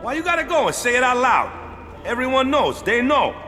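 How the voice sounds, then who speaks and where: An actor playing a blunt, easygoing older guardian in a game audio drama, with colloquial phrasing and tags like "eh". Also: male voice, extremely high pitch, very animated, brisk, pushed and bright, full character voice. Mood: exasperated. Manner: colloquial, tags sentences with "eh" and "yeah". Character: gruff, easygoing older guardian man, patient but blunt. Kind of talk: acted audio drama dialogue. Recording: music behind the voice.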